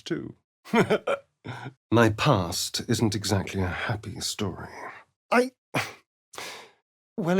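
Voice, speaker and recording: A man's voice: medium pitch, exaggerated voice. An actor playing a vain, sardonic young-looking male vampire in a video game, with an arch, theatrical manner. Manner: arch, sly and theatrical, drawling. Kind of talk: game voice acting.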